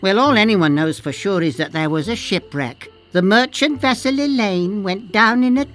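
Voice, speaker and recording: Actress as a lively, knowing island mapmaker woman. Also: mid-range voice, normal speed, faint music behind, natural voice. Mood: storytelling. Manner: chatty, conspiratorial storytelling. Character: cagey, knowing woman cartographer on a pirate island. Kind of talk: video game dialogue acting.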